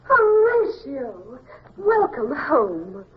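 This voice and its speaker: A woman's voice: sits high, huge pitch swings, very slow, full character voice. An actress playing a gracious, affectionate upper-class lady in an old-time radio drama, with a poised, emotive delivery. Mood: warm, composed. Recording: muffled, noisy old radio recording.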